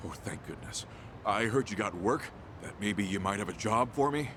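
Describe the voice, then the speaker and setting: A man's voice: lowish voice, expressive, moderate pace, a bit bright, a little hiss or hum. A man voicing a desperate, emotional down-and-out ex-yakuza family man in a video game drama. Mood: humble pleading. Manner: pleading, emotional.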